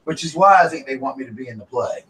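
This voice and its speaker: A raspy man with a Southern US accent hosts a casual live stream, talking like he is chatting with friends. He sits a little away from his mic, and a faint hum of noise stays under his voice. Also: mid-range voice, expressive, quick pace, far from the mic. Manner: chatty, breaks off to call his dogs.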